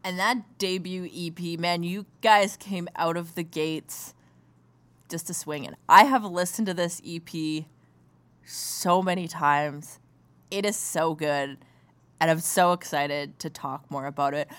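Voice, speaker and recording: A woman hosting a music podcast, bubbly and gushing with excitement as she interviews a band. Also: on the low side, unhurried. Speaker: podcast host. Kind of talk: enthusiastic interview chat.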